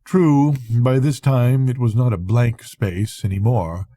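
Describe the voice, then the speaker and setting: An older man, a grandfather, reading a literary bedtime story aloud in an unhurried, conversational storytelling manner with a husky voice. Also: medium pitch, expressive, warm tone, no room sound. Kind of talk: expressive literary audiobook reading.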